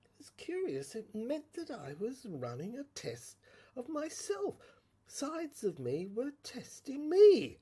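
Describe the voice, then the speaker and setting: A woman playing a tormented, haunted dreamer in an audio drama monologue, halting and repetitive, with a husky voice that slips into whispers. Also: on the high side, wildly swooping pitch, warm tone, exaggerated voice. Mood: hushed, wondering. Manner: halting, repetitive, intense delivery. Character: tormented, haunted dreamer recounting a surreal inner ordeal.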